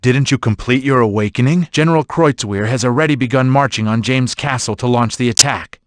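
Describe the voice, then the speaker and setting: Middle-pitched male voice, stern dark advisor, man acting, grave, formal, dry studio game clip. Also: fairly quick, no room sound, lightly acted. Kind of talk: English video game dub acting.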